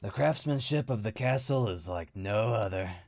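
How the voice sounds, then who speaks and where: A man's voice: on the low side, lively intonation, normal speed, a little mellow. A man playing an imperious, condescending royal chancellor with formal speech in a fantasy audio drama. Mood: haughty, boastful. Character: imperious, peculiar lavish nobleman, a royal chancellor.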